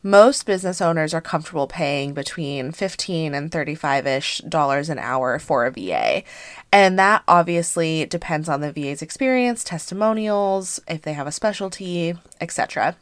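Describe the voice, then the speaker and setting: A woman in her thirties hosting a casual solo podcast, hoarse and congested from allergies, chatty with frequent fillers. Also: deep voice, animated, moderate pace, dry recording. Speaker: podcast host and business coach.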